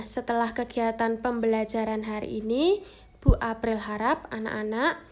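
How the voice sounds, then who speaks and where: A woman with a high voice teaches a primary school class. She is encouraging and coaxing, and she poses questions to the children and then answers them herself. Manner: warm encouraging, calls pupils "Nak", asks questions then answers them. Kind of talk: online lesson for children.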